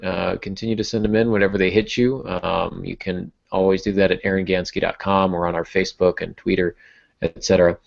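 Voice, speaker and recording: A man's voice: sits low, flat delivery, a little fast, creaky, muffled recording. A man hosting a podcast in a loose, jokey, casual chatting style. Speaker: podcast host.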